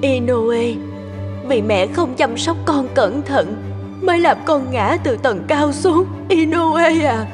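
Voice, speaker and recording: Cartoon mother, tender and grief-stricken, high voice, extremely drawn-out delivery, music behind. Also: lively intonation. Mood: grieving. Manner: slow, drawn-out, sorrowful delivery. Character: grieving, tender mother of an injured little boy. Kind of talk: animated film dialogue and lullaby.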